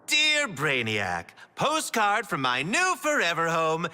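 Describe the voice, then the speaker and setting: Manic male killer clown, video game villain, highish pitch, wild swoops, theatrical, gleefully sadistic, mock-sentimental. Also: huge pitch swings, takes it slowly, sharp tone, no room sound, heavily acted. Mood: sarcastic cheer. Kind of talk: video game cutscene voice acting.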